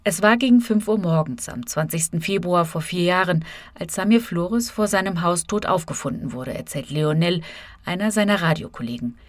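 A woman with a fairly low voice narrates a scripted radio report. She works as a correspondent, moving between narration and translated quotes in a measured news-feature style. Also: dry recording.

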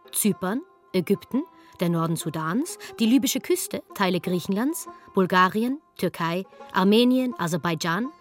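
A woman's voice: medium pitch, natural voice. A woman playing an archaeologist who narrates history in a radio drama, wry and matter-of-fact.

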